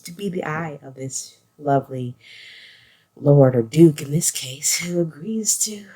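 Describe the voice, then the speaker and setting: A woman's voice: low-pitched, unhurried, warm tone. A woman giving a casual, conversational review as a host, in loose phrasing peppered with filler phrases. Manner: conversational, loose phrasing, often saying "like" and "you know". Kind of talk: casual spoken review with a scripted synopsis read at the start.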